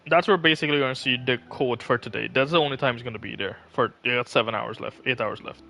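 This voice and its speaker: A man's voice: medium pitch, fast, vocal fry, bright, forceful tone, muffled recording, faint music behind. A man chatting casually during a game livestream, guiding a friend as a fellow gamer.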